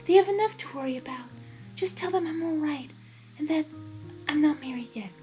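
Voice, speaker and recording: A woman voicing a gentle, timid young female pony in a soft, hesitant way, for a fan fiction reading. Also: sits high, brisk, soft background music, pitched above the performer's own voice, close to an everyday voice. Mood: wistful, anxious. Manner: hesitant, pleading.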